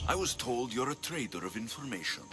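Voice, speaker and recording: A man's voice: medium pitch, sing-song, brisk, close to an everyday voice. A man acting an earnest, determined young Greek mercenary in a video game, speaking in a guarded, direct way. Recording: music bed under the voice.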